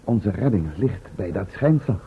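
An actor playing a composed, determined expedition companion in a radio play, measured and formal, with a husky voice. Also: male voice, fairly low pitch, animated, slight background noise. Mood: insistent. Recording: muffled, band-limited old radio play recording.

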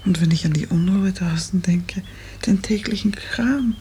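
A woman acting a gentle, anxious hospital patient of thirty in a 1950s radio drama, soft and wistful. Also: medium pitch, a little slow, husky. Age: 30s. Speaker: actress. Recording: noisy old 1950s radio recording.

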